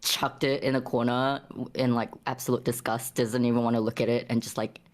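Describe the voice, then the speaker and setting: A woman narrating her character's actions in a casual tabletop game, halting and circling back over phrases. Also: a bass voice, level tone. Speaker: tabletop RPG player. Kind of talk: casual improvised roleplay narration.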